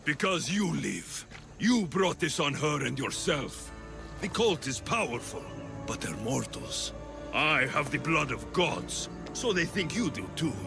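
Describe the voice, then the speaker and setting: An actor playing an arrogant, threatening young warrior of a secret cult in a video game, with a slow, taunting delivery. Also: male voice, fairly high pitch, very animated, creaky, music in the background, exaggerated voice. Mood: cold, menacing.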